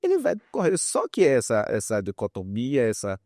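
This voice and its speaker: A man's voice: medium pitch, wildly swooping pitch, moderate pace, mellow, dry recording, clean studio sound. A man, explaining his opinions in an interview with examples and repeated words for emphasis. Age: 50s. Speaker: guest psychologist and author.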